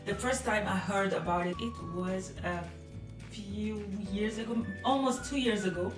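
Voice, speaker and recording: A woman's voice: medium pitch, moderate pace. Hesitant French-accented woman, roomy distant sound. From France. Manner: hesitant, many fillers, soft laughs. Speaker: vlogger. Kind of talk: casual chat to camera. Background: music behind.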